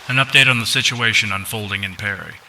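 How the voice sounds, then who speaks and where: A man acting as a sci-fi radio drama news anchor, reading a sober war bulletin in a formal, measured way. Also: lowish voice, vocal fry, bright, forceful tone, full character voice. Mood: sober, urgent. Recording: distant, hissy, noisy broadcast sound.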